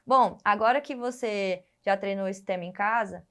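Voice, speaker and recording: A Brazilian woman, a biology teacher, giving a recorded lesson in an explanatory way, often pausing on fillers and restarting phrases. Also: medium pitch, a bit bright. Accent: Brazilian Portuguese.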